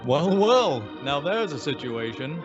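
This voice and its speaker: A man's voice: on the high side, big pitch swings, unhurried, music in the background. A man playing a theatrical, jaunty announcer in old-time radio style. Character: theatrical male network announcer, showy and jaunty. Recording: dull lo-fi audio.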